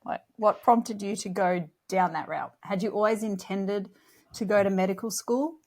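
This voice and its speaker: A woman co-hosting a podcast in casual chat, enthusiastic and quick with short affirmations. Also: mid-range voice, a little mellow. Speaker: podcast co-host.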